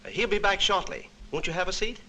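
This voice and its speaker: A courteous male official rattles along quickly in a bright, crisp voice. It is vintage 1940s cartoon acting. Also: high voice, sing-song, exaggerated voice. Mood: brisk, courteous. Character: brisk, courteous male official turning visitors away.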